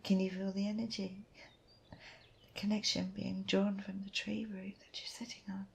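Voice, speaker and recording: Woman acting as gentle nurturing fantasy companion, breathy whisper, crackly fry, soothing ASMR roleplay delivery. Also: medium pitch, level tone. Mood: gentle, pensive. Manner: soothing, unhurried, softly breathy.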